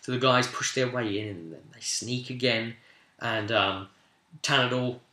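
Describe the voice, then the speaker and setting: Bright-voiced man in an echoey room. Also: medium pitch, steady tone, unhurried. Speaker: game master and host of a role-playing vidcast. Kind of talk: casual recap of a tabletop game session. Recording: roomy, echoing room sound.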